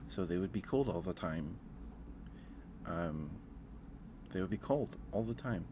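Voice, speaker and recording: A man's voice: sits low, lively intonation, a little slow, noisy background. A man hosting a casual ASMR livestream, talking in a rambling, hesitant way and often repeating his phrases. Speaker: livestream host.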